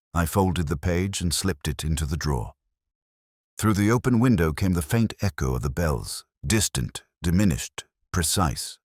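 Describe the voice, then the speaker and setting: A man playing a weary, melancholy middle-aged police inspector, narrating a mystery in somber, reflective, measured literary phrases. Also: low-pitched, slightly rough, no echo, clean studio sound, heavily acted. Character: weary, melancholy male English police inspector recounting a case. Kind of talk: scripted first-person literary mystery narration.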